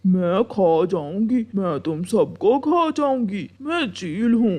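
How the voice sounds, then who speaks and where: A woman's voice: mid-range voice, animated, slow, mellow. A woman voicing a scheming male crow in a children's cartoon. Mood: menacing. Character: scheming male cartoon crow, hawker and fake menacing eagle.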